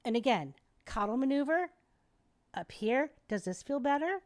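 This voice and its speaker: Animated woman explaining like a teacher. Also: sits high, moderate pace. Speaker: airway specialist guest. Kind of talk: podcast interview, explaining and demonstrating.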